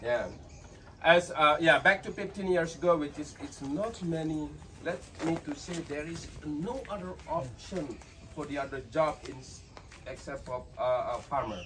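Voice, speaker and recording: A man's voice: a little high, moderate pace, a bit bright. A man with an Indonesian accent, a local tour guide who casually explains pottery making to tourists, joking and apologizing. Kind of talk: guiding tourists, explaining a craft. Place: outdoors in a pottery village. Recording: distant outdoor camera sound, rooster noise. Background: roosters and village ambience.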